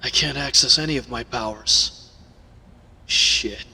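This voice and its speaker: A man voicing a captive young werewolf hero, gruff, defiant and wounded, in an audio roleplay. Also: medium pitch, unhurried, sharp tone, in a large hall, full character voice. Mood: frustrated, dazed.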